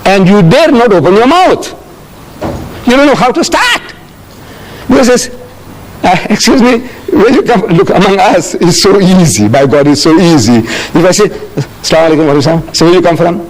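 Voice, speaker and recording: An older man from South Africa answering audience questions in a lecture, animated and telling stories with acted-out dialogue. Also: high-pitched, fairly quick, a little hiss or hum, rough recording.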